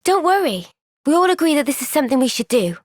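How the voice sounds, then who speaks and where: A woman voicing an earnest, kind young woman soldier in a video game, speaking gently and sincerely in a thoughtful, resolute way. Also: high voice, big pitch swings, fast talker, no echo, clean studio sound. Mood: resolved, reassuring. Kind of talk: video game cutscene voice acting.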